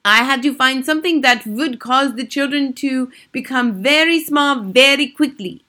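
An imperious storybook witch queen. Her voice is pitched well up and pushed loud. She snaps out menacing orders with gloating authority and stilted grammar. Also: normal speed. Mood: lecturing, triumphant. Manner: commanding, snapping, shouting, odd foreign-sounding grammar. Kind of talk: audiobook children's story villain.

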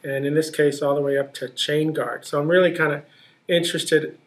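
An eager male podcast host with a voice somewhat higher than usual. He talks in a casual way and stumbles over repeated words as he gets into his questions. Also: little pitch movement, fairly quick. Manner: eager, repeats words when starting sentences. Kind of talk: casual interview hosting.

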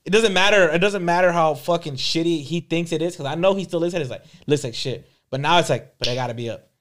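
A man's voice: fairly high pitch, quick pace, fairly bright tone. A man co-hosting a casual podcast, emphatic and profane, talking over the others. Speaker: podcast co-host.

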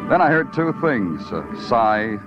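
An actor playing a wry, world-weary New York police detective in 1940s radio noir, brooding and poetic. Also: male voice, mid-range voice, very animated, unhurried. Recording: dull old radio recording with loud music.

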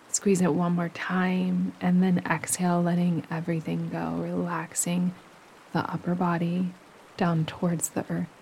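A woman guides a relaxation meditation very slowly and gently. Her voice is on the low side and stays very even in pitch. Running stream water sounds softly behind her. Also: slight background noise, rough recording. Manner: soothing, gentle instruction with unhurried pauses. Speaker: yoga nidra meditation guide.

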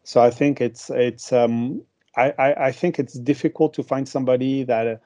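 A man's voice: mid-range voice, steady tone, moderate pace, dark tone. A man with a French accent, a marketing executive answering interview questions with many hesitations and restarts.

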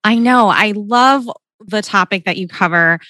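A woman's voice: mid-range voice, measured pace, pushed and bright, no echo. A woman hosting a parenting podcast, bubbly and enthusiastic, with a soothing voice. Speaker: podcast host.

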